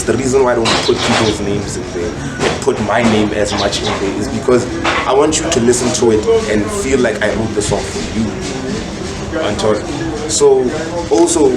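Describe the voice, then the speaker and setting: A South African man speaking casually and reflectively as a podcast guest, explaining himself at length and repeating words for emphasis. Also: mid-range voice. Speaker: guest musician, rapper. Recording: echoey room, loud background noise.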